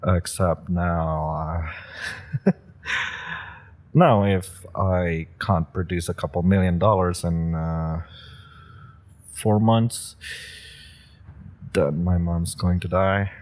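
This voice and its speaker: A man's voice: low-pitched, slow, pauses often. A man voicing an eager, nervous young rookie villain who stammers and hesitates, in an audio roleplay. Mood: nervous, pleading. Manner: hesitant, stammering, many fillers. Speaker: listener roleplay actor.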